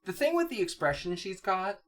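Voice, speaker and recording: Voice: mid-range voice, big pitch swings, a little fast. Wry co-host chatting casually. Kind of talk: casual chat, comic commentary.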